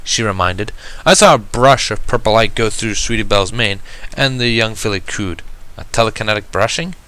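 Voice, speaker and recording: A man's voice: on the low side, moderate pace, sharp tone, clean tone, poor audio. A man reading a story aloud as a first-person narrator. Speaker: fan fiction reader.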